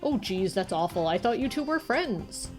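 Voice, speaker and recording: A woman's voice: mid-range voice, sing-song, moderate pace, darkish tone, close to an everyday voice. Woman playing a chatty, folksy security guard. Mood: sympathetic. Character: friendly, chatty, slightly hapless studio security guard. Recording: music behind the voice.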